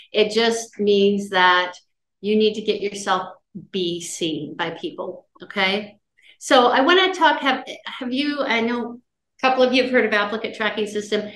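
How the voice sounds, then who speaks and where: A middle-aged woman with a loud voice giving a casual career-services talk, chatty and conversational, often checking in with her listeners. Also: mid-range voice. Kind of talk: casual lecture on job searching. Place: office, indoors, on a video call. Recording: roomy video-call audio.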